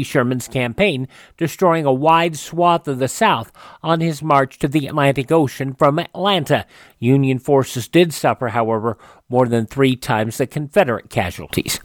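An American man hosts a radio program in a slightly high voice. He reads items in a measured, newsreader-like way, partly scripted. The sound is dry and close. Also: no room sound. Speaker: radio show host. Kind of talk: semi-scripted presenting of old radio and history facts.

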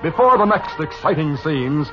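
A man's voice: fairly high pitch, expressive, normal speed, music in the background. An American man narrating a 1950s radio drama as its announcer. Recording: muffled 1950s radio recording.

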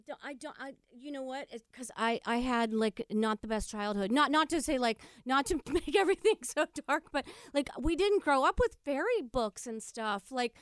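Female panel guest, raised pitch, crisp tone, halting emphatic casual talk. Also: animated, no room sound, clean studio sound. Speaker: podcast guest, stage performer. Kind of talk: casual chat.

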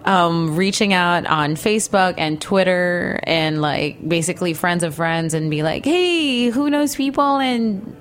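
Woman chef guest, thirties, animated and laughing, lowish pitch. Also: unhurried, hardly any pauses. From Philippines. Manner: giggly, animated, laughs often. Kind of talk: casual interview.

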